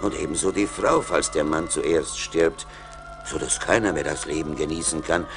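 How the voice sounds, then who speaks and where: A man's voice: extremely low pitch, normal speed, background noise. An actor playing a wealthy, generous nobleman and father-in-law in a German radio play, speaking in a formal, solemn, declamatory manner. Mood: grave, solemn. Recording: vinyl record transfer, faint music.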